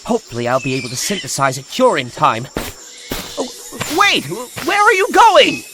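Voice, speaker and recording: A man voicing an excitable, anxious character in a game cartoon, high-strung and exclamatory, with music behind him. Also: moderate pace, background noise. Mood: eager, worried.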